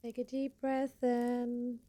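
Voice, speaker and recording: A soft-spoken woman leading an ASMR energy-healing meditation in a soothing, deliberate manner. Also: a little high, monotone. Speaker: ASMR Reiki healer, host.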